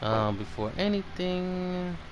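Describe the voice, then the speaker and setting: A man hosting a casual game live stream, chatting loosely and thinking aloud as he plays. His voice sits a little high. He speaks slowly, with long stretches between thoughts. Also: lively intonation, noisy background.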